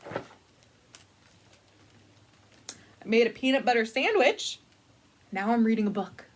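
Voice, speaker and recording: Woman reading as an exasperated high-voiced storybook alligator. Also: animated, normal speed. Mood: matter-of-fact. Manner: irritated, matter-of-fact complaining. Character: exasperated, grumpy alligator who just wants peace. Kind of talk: storybook read-aloud dialogue.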